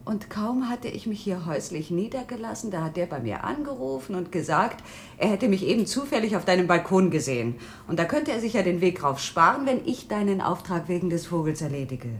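An actress playing a breezy, teasing girlfriend in a radio drama, chatty and expressive. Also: lowish voice, a little fast, slight background noise. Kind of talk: German radio drama acting.

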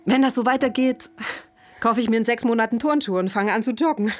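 A woman's voice: a little high, a little fast, soft background music, natural voice. A woman playing a brash, self-mocking middle-aged actress who uses drugs, in a naturalistic radio drama monologue with blunt, conversational delivery. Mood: wry, confessional.

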